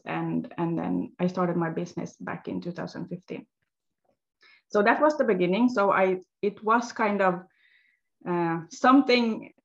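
A woman speaking slowly and haltingly, pausing to search for her words. Her lowish voice has a gravelly fry to it. The audio sounds dull and lo-fi. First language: Swedish. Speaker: guest, health coach. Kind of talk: interview storytelling.

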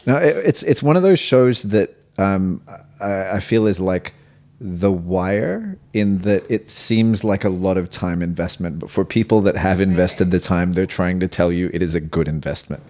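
A man's voice: low voice, expressive, normal speed, darkish tone. A man hosting a podcast in a casual, conversational style. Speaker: podcast host.

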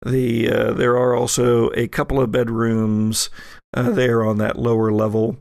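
Slow, gravelly male game master. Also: medium pitch, level tone, a little mellow, no echo. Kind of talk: improvised scene narration for actual-play podcast.

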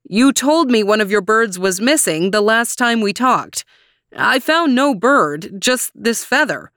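A woman voicing a friendly, patient man in a storybook who speaks loudly and emphatically to be heard. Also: medium pitch, moderate pace, clear, resonant tone, dry recording, studio quality, natural voice. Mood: exasperated, placating. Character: friendly, patient man shouting to a deaf neighbor. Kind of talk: storybook character dialogue.

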